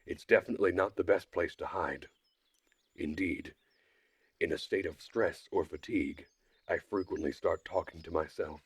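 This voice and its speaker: A man with a slightly rough voice narrating a first-person visual novel story in a measured, dry manner. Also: sits low, dry recording. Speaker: streamer reading a visual novel aloud.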